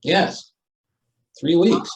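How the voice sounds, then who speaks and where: A man's voice: extremely slow, recorded from a distance, muffled recording. A man playing a flustered, placating newspaper editor in an old-time radio comedy, hemming and dryly wisecracking. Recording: roomy stage, away from mic.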